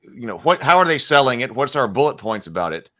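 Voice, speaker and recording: A man's voice: mid-range voice, sing-song, quick pace, sharp tone. A man hosting a casual live stream, thinking aloud with frequent restarts and fillers and answering viewers' chat. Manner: reads viewer chat comments aloud and replies. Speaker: livestream host.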